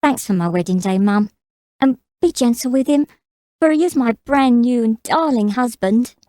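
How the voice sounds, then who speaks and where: A woman playing an innocent, trusting twenty-year-old bride in an audio drama, with a soft, sweet manner. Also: on the high side, animated, normal speed, dry recording, studio quality, natural voice. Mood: curious, sweet. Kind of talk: audio drama character acting.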